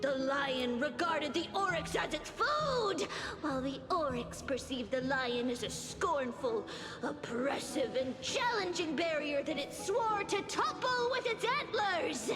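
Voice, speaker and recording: A woman's voice: high voice, expressive, measured pace. A woman voicing an impish, childlike sprite storyteller who narrates grandly and dramatically in a video game. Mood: storytelling. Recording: long reverb, game music behind.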